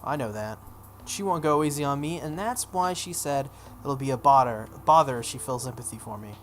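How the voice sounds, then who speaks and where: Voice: medium pitch, expressive, a little fast. A playthrough host reads a visual novel aloud in a mid-range voice. The delivery is dramatic narration mixed with casual asides. There is a light layer of noise on the recording. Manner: acts out dialogue, breaks off for offhand comments.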